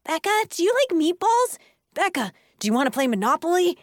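Woman putting on shrill, overdramatic clamoring schoolkids. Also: expressive, measured pace, dry recording, studio quality. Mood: eager, fussing. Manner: shrill, breathless urgency, overdramatic. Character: frantic, clamoring schoolkids fussing over a popular girl.

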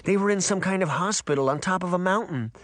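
A man's voice: sits high, fast, a bit bright, dry recording, pitched above the performer's own voice. A man voicing a feisty, protective eleven-year-old girl in an audiobook, speaking with urgent emphasis. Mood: urgent, earnest. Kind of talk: audiobook character acting.

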